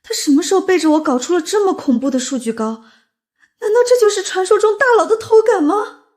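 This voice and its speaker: A woman playing a flustered but plucky young office employee in an animated drama. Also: very high-pitched, brisk. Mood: astonished. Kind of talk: animated web drama dialogue.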